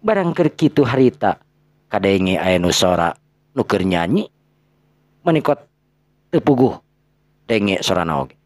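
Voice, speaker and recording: Sundanese guy telling a folktale, really low voice w big swoops, suspenseful broken phrases, dry recording. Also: big pitch swings, measured pace, long pauses, no echo. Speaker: storyteller. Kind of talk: dramatic folktale narration.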